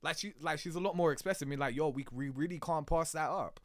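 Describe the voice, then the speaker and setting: A man's voice: a little high, fast, dry recording, studio quality. A young man giving casual, slangy reaction commentary as a gaming host, with swearing and verbal tags. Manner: slangy, swearing, repeats "you know what I mean".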